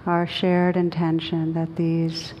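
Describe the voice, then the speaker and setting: A woman teaching meditation, guiding a group in a gentle, unhurried manner with long pauses. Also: completely flat delivery. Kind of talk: guided meditation led for a group. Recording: clearly noisy with low rumble.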